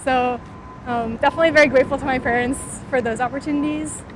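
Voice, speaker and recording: High-voiced young woman, chatty fillers. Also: fairly even intonation, quick pace, background noise. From California, USA. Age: 20s. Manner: frequent "like" and "um" fillers, thoughtful. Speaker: startup founder interviewee. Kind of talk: casual interview while walking. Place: outdoors on a city street.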